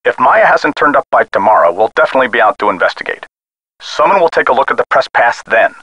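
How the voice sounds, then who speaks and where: An actor voicing a weary, skeptical American police sergeant in a video game, brusque, folksy and condescending, as if on a telephone call. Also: male voice, medium pitch, sing-song, fast talker, sharp tone, breathy edge, no room sound, full character voice. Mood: patronizing. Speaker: police missing-persons officer.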